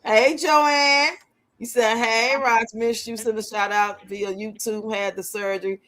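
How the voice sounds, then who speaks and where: Older lady hosting a livestream, high sharp voice, blunt and outspoken, real casual talk. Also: fairly even intonation, unhurried. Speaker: livestream host. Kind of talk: casual livestream chat with viewers.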